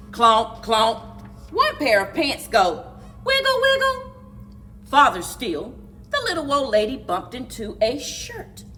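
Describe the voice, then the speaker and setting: A woman reading aloud as a library story time host, with expressive, dramatic, deliberate children's narration and playful sound words. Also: on the high side.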